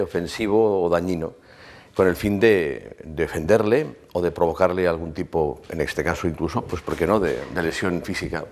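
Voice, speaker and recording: A university lecturer in his fifties, defining a term like a teacher, with fillers between phrases. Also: sits low. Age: 50s. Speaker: anthropology lecturer. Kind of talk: documentary interview.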